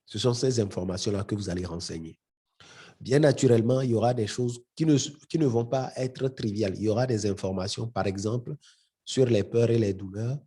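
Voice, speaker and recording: A man's voice: on the low side, brisk, warm tone, husky. A middle-aged man, leading a live marketing webinar, hesitant and often repeating words. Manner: addresses the audience directly. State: has a head cold. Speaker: webinar trainer.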